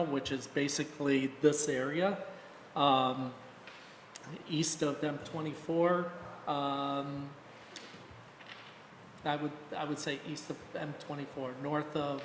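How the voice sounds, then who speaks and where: Higher-voiced official guy explaining plans, calm, echoey room w/ hiss. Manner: measured, explanatory, with fillers. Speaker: village official. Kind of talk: formal meeting update. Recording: echoey hall from a room mic.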